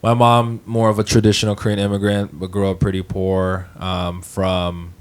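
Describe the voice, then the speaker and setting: A young man from Hawaii, a podcast co-host, talking casually, joking and swearing, then speaking softly and thoughtfully about his family. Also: deep voice, completely flat delivery, normal speed, clean tone. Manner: joking, profane, then reflective and soft-spoken. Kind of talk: casual group chat, storytelling.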